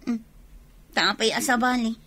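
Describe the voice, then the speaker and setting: A woman playing a lively, affectionate elder sister in a Philippine radio drama. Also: on the high side, measured pace. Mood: curious.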